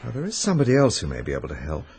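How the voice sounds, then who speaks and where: An actor with an English accent playing an anxious, urgent amateur sleuth in a radio drama, with a husky voice. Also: male voice, mid-range voice, wildly swooping pitch, very fast, darkish tone, full character voice. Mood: worried.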